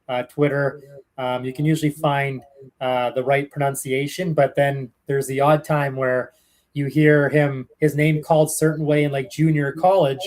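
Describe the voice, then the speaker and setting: A young man with a Canadian accent talks as an interview guest, rambling through behind-the-scenes stories. His voice sits a bit high for a man. He fills pauses with ums and uhs and restarts his sentences often. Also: a little mellow. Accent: Canadian English. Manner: chatty storyteller, many fillers and restarts. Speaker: hockey play-by-play broadcaster, guest. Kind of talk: casual interview answers.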